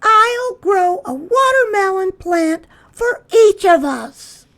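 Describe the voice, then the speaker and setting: A man's voice: very high-pitched, animated, slow pace, a bit bright, pitched above the performer's own voice, exaggerated voice. A man voicing a bold, chirpy little girl mouse from a storybook. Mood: eager.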